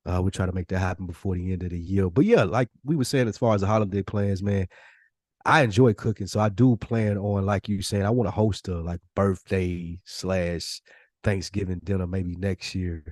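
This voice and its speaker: An American man co-hosting a podcast, telling stories in casual, animated chat with loose slang and free swearing. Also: low voice, normal speed, no room sound, clean studio sound. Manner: slangy, informal, swearing freely. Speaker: podcast co-host.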